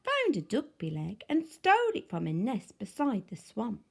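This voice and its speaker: A woman teacher reading a picture book aloud in an expressive, sing-song way. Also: a little high, moderate pace, gravelly, breathy edge. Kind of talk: storybook read-aloud.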